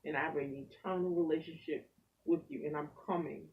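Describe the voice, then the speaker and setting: A man, a pastor and poet, talking in an animated, preacherly way in a casual faith conversation. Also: fairly high pitch, a little fast, far from the mic, narrow, tinny audio, a little hiss or hum.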